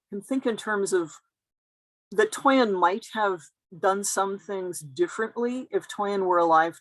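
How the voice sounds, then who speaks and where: A woman's voice: lowish voice, a bit bright, no room sound, rough recording. A woman giving a careful, explanatory academic talk as a guest lecturer over a video call.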